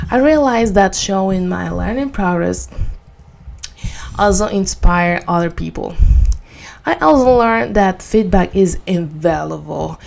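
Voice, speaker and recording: A woman from Venezuela with a Spanish accent gives a prepared motivational presentation in earnest, deliberate non-native English. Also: medium pitch, gravelly, a little mellow. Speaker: student giving a personal speech.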